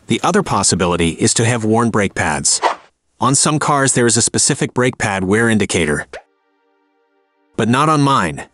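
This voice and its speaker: Man calmly narrating a car repair. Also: medium pitch, dry recording. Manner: calm, step-by-step explaining. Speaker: car repair video host. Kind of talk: scripted how-to voice-over.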